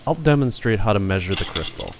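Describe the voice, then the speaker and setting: Male narrator, lowish pitch, scripted how-to read, formal and polished, clear noise in the recording. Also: animated, fast talker. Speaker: narrator of a restoration tutorial.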